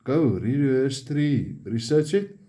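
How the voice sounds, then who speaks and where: Afrikaans guy vlogging to his viewers, slow and halting, mellow voice. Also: medium pitch. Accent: Afrikaans South African. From South Africa. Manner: halting and emphatic, repeats words. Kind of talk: personal monologue to viewers.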